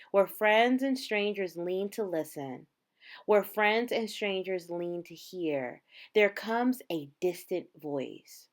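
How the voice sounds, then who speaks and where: A woman reading a children's picture book aloud, with measured, dramatic phrasing and drawn-out words. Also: medium pitch. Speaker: storybook reader.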